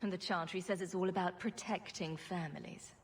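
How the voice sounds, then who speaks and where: A sarcastic rogue heroine from a fantasy game played by a woman. Her voice sits slightly low and her wit is dry, with faint noise in the background. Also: steady tone, fairly quick, lightly acted. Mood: wry. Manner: dry, wry. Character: witty, sarcastic female rogue adventurer hero.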